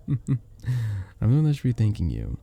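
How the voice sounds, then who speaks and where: A man voicing a sweet, earnest vampire bartender in a romantic ASMR roleplay, soft-spoken and flirtatious, with hesitant little chuckles. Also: medium pitch, wildly swooping pitch, a little slow, vocal fry, no room sound, heavily acted. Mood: flirtatious, charming. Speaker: audio roleplay performer. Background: soft background music.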